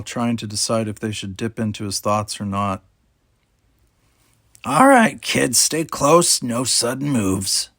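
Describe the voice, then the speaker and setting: A man's voice: fairly low pitch, no room sound, clean studio sound. A man reading an audiobook, narrating a scripted story. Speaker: audiobook reader.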